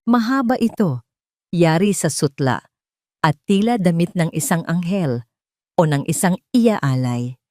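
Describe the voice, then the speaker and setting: Woman narrating drama, lively lowish voice. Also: measured pace, long pauses, dry recording, clean studio sound. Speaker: story narrator.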